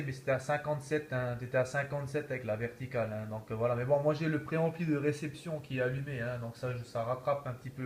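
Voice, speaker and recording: A man's voice: medium pitch, a little hiss or hum. A man talking casually as an amateur radio operator, repeating himself and peppering his talk with verbal tags. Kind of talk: casual radio contact chat.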